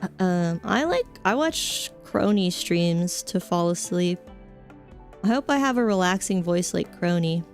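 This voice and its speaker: Rambling young woman streamer, low voice. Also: animated, unhurried, soft background music. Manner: rambling, filler-heavy, offhand and joking. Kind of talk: casual chat stream, reading chat.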